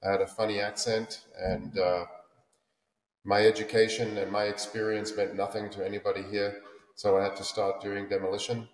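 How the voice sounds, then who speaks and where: A man's voice: fairly low pitch, level tone, moderate pace. A man in his forties with a South African accent, telling his story as a guest in a casual interview over a video call, with many restarts and fillers. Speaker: guest, luxury home builder. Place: home office, indoors. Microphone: video call webcam. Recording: roomy echo, far from mic.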